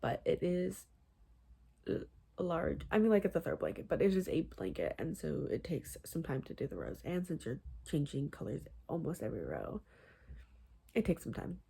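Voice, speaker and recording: Young woman vlogging, rambly casual chat, slightly low husky voice. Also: sing-song, moderate pace, dark tone. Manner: rambling, many fillers and restarts. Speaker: crochet vlogger.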